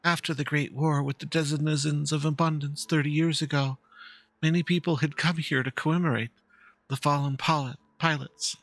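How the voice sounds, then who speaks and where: A man voicing a dignified, grieving fox-woman pilot veteran, measured and earnest, in a game dialogue reading. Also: on the high side, steady tone, fairly bright tone, dry recording. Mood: solemn explaining.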